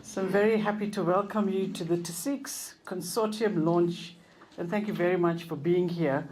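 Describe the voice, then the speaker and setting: A woman with a low, mellow voice opens an event with a measured, formal welcome as a university dean. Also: steady tone, a little hiss or hum, low-quality recording.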